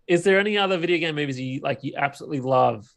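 Man, fairly high voice, podcast co-host, casual film chat, conversational. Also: rapid-fire, gravelly.

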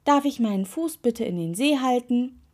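A little witch girl from a children's story, played by a woman, kind but easily exasperated. Her speech is expressive and swings freely up and down in pitch. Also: moderate pace. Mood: apologetic. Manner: expressive, muttering to exclaiming.

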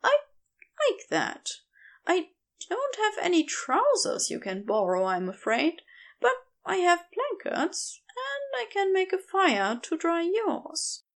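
A woman plays a gentle male centaur from a fantasy storybook, shy and bookish. The voice is high and breathy, swooping with flustered, polite eagerness. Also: wildly swooping pitch, takes it slowly, heavily acted. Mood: shy, eager. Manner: hesitant, polite, eager rambling.

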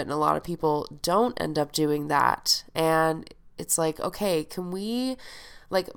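A woman's voice: fairly low pitch, gravelly, smooth. A young woman hosting a podcast in casual, chatty talk, sounding nasal and stuffed up from a head cold and swearing freely. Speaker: podcast host.

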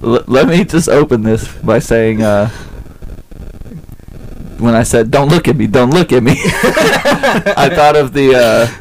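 Animated, joking young podcast host. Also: male voice, mid-range voice, slow, long pauses, a little hiss or hum, rough recording. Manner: joking, laughs often. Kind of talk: casual chat.

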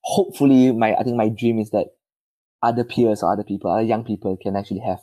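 A man's voice: on the low side, steady tone, quick pace, warm tone, dry recording. A young man in his twenties from Malaysia, answering interview questions in a hesitant, modest way and often restarting his words. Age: 20s. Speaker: guest.

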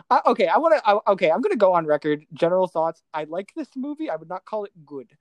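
A man co-hosting a pop culture podcast in a casual, animated way, laughing easily and often restarting his sentences. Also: high-pitched, a little fast, no echo, muffled recording. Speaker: podcast co-host.

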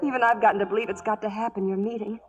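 A woman's voice: medium pitch, fairly even intonation, very fast, highs cut off, lightly acted. An actress playing a pleading, lovelorn young frontier woman in 1940s radio drama, earnest and breathless. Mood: anxious, urgent. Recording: 1940s radio broadcast with music.

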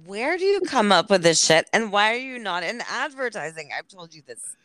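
A woman's voice: mid-range voice, very animated, moderate pace, fairly bright tone, no room sound. A woman hosting a casual podcast chat, informal and slangy, with an enthusiastic way of talking. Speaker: podcast host.

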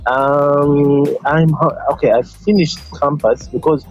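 A man in his forties, answering interview questions in a hesitant, casual way with many fillers and soft chuckles. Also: a little high, fairly even intonation, slow, dull audio, soft background music. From Uganda. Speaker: guest.

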